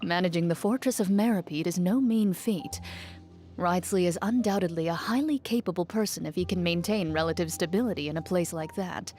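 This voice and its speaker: Game role: composed, dutiful young female duelist, played by a woman. Slightly low pitch, measured formal delivery, music bed behind. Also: mellow, lightly acted. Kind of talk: video game character voice lines, reflective monologue. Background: music under the voice.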